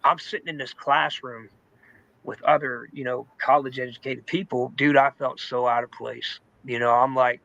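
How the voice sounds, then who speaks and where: A man's voice: mid-range voice, fairly even intonation, normal speed, vocal fry, a bit bright, dry recording, dull audio. A man giving a personal testimony in an informal, candid, conversational storytelling way, saying "man", "dude" and "you know" often. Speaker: testimony guest in recovery.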